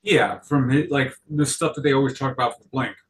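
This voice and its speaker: A man chatting casually in a rambling, halting way, often correcting himself mid-sentence. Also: medium pitch, distant mic. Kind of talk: casual chat.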